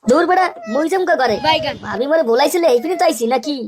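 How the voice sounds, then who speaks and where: A blustery, lecherous young village man of a comic cartoon, acted by a man, with a Barishal Bengali accent. He is crude and excitable. The track is clearly noisy. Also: very high voice, fast talker. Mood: sneaky, eager.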